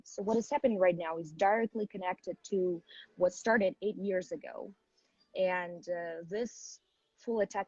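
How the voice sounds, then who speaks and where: A woman's voice: medium pitch, a little mellow, husky, dry recording, muffled recording. A young woman from Ukraine, a native Ukrainian speaker, earnestly explaining things step by step as the guest of a live online interview. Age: young adult. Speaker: activist guest.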